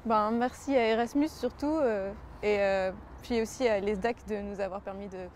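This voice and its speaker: A woman's voice: medium pitch, normal speed, a little mellow, a little hiss or hum. A woman aged twenty-nine, speaking casually in an interview as a design student. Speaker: design student on a work-study program.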